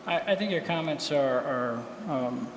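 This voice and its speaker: A man, a school official, answering a public comment at a meeting in a measured, hedging way with many fillers. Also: a little high, expressive. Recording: roomy hall with faint noise.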